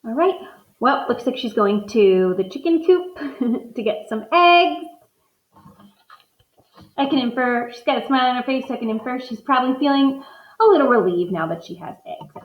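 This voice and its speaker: A woman's voice: high voice, lively intonation, moderate pace, echoey room, lo-fi sound. A woman teacher doing a read-aloud lesson, thinking aloud as she models inferences from the pictures for her students.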